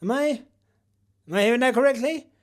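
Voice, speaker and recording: A man's voice: very high voice, fairly quick. A man hosting a parody news segment, delivering it in a mock-pompous, boastful way with jokey asides. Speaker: comedic news host.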